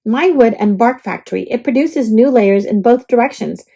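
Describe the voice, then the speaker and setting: A woman reading a children's book aloud in an animated, expressive way. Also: fairly high pitch, normal speed, gravelly, breathy edge.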